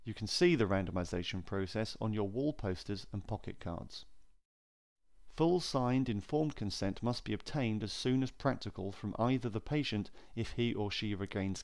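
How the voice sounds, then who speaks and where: A man narrating a scripted training film in a measured, formal, clearly enunciated way. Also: on the low side.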